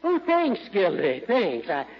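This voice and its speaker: An actor playing a bashful, grateful old suitor with a gravelly, husky, hesitant voice in 1940s radio comedy. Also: male voice, sits high, huge pitch swings, slow, full character voice. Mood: sheepish, grateful. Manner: hesitant, sheepish. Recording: dull vintage radio recording.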